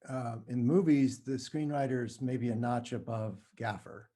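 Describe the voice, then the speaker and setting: A man speaking as an interview guest, explaining things thoughtfully and choosing his words with halting care. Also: mid-range voice, warm tone. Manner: halting, careful with word choice. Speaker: playwright and screenwriter guest.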